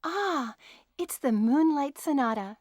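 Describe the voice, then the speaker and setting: A woman's voice: high voice, expressive, slow pace, a little mellow, no echo, clean studio sound. A woman voicing a young female rookie medic in a 1990s video game, with stiff, stilted line reading. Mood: stiff, polite.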